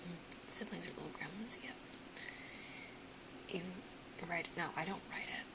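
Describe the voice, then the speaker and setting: A woman's voice: fairly low pitch, expressive, moderate pace, very noisy. A young woman with an American accent whispering casually to her live stream viewers, a little sniffly, close to the mic. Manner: soft whisper throughout, chatty, laughs often. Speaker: ASMR streamer chatting with viewers.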